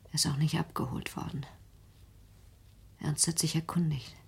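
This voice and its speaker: Radio drama daughter, young adult: hushed and very husky, lowish, measured but accusing and wounded. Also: female voice, fairly even intonation, in a whisper, heavily acted. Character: wounded, probing young daughter confronting her mother. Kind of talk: German radio play acting.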